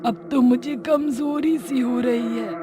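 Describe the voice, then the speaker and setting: A woman voicing a gleeful, bloodthirsty, liquor-loving witch in a cartoon story, with theatrical, drawn-out menace. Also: sits high, fairly even intonation, music in the background. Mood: weak, gloomy. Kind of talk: animated moral story dialogue.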